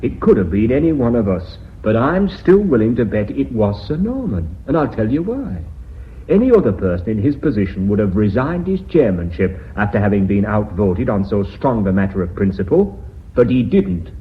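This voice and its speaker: An actor in a radio drama playing a suspicious company board member who argues and accuses. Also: male voice, lowish voice, sing-song, normal speed. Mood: accusing. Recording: phone-like narrow-band radio recording.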